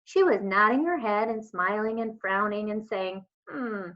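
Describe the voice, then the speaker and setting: A woman's voice: mid-range voice, animated, moderate pace. A woman, a teacher, reading a children's story aloud as narrator. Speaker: elementary school teacher. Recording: dull lo-fi home recording.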